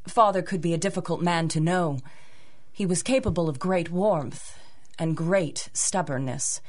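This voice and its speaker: A woman's voice: lowish voice, a little slow, a little mellow. An actress playing a polite, grieving young lady, earnest and a little hesitant, in a 1930s-style radio drama. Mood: wistful.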